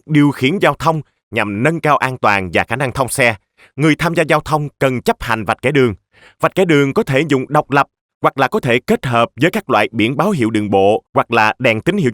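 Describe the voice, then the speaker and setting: A kindly adult policeman from a children's cartoon, played by a male actor. His mid-pitched voice is patient, instructive and encouraging, recorded very dry. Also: a bit bright, no room sound, lightly acted.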